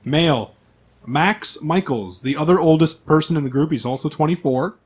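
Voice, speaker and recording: Guy game master, slightly high voice, going down a character list with casual asides. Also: normal speed, breathy edge. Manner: informal, list-like delivery with asides. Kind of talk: reading out character profiles for a tabletop game.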